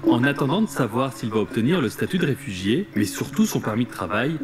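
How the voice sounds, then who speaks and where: A man's voice: mid-range voice, sing-song, brisk, music in the background. A man reading scripted narration for an investigative television news report.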